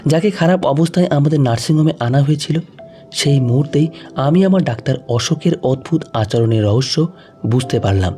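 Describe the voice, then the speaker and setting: A male storyteller narrates a scripted emotional tale. His voice is warm and mellow, sitting slightly higher than average. Music plays behind his reading. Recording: music under the voice. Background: background music.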